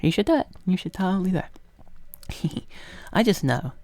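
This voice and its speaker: Lilting, soft-spoken young British man. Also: high-pitched, sing-song, measured pace, no room sound. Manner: playful, coaxing, often drops into a breathy whisper. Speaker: ASMR roleplay host. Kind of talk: soft ASMR roleplay chat, casual and instructional.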